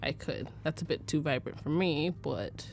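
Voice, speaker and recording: A woman's voice: low voice, expressive, moderate pace, a little mellow, soft background music. A young American woman from Arizona giving a casual live photo-editing tutorial, chatty and full of fillers, laughing easily. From United States (Arizona). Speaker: livestream presenter teaching photo editing.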